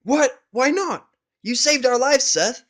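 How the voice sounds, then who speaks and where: A brash young female pegasus pony, acted out by a man, pitched high and loud. The character is eager, boastful and loyal, talking in slangy exclamations for an audio drama. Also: takes it slowly, exaggerated voice. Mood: indignant protest. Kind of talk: audio drama of fan fiction.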